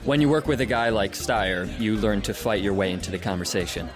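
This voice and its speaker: An actor playing a persuasive, self-assured internet company executive in an audio drama, coaxing in corporate jargon. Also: male voice, lowish voice, brisk, over music, close to an everyday voice.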